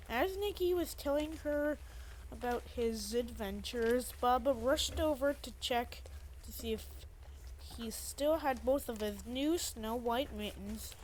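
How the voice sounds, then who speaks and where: A woman's voice: fairly high pitch, rough recording. A woman reading scripted storybook narration in a readers theater, with some noise in the recording.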